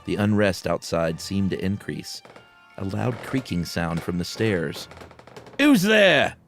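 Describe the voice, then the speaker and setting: A man's voice: low voice, fairly even intonation, measured pace. A man hosting and reading a horror story dramatically, with casual asides. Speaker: podcast host and story reader. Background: music.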